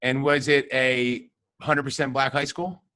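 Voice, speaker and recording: A man's voice: medium pitch, level tone, unhurried, sharp tone. A middle-aged man hosting a casual conversation with old friends, often stalling with fillers and restarts. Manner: hesitant, many restarts and fillers. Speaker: host.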